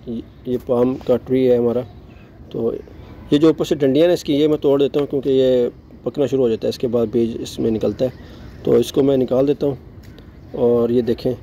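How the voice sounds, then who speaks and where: A middle-aged man giving a relaxed, chatty tour of his rooftop garden, mixing English words into his speech, recorded outdoors on a phone. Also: medium pitch, a little hiss or hum. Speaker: gardening vlogger. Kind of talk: casual show-and-tell narration.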